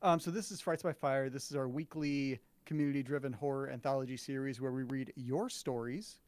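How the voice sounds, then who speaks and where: A man's voice: mid-range voice, steady tone, fairly quick, a little mellow, clean tone, no room sound. A man in his thirties hosting a live show with casual, joking banter. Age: 30s. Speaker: show host.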